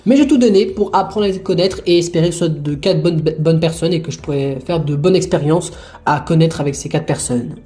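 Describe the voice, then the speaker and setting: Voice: mid-range voice, fairly quick, mellow, close to an everyday voice. A performer voicing a young male contestant in his early twenties, talking in a rambling way and sounding relieved. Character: young man, 21, streamer and narrator. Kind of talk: homemade toy-figure adventure show.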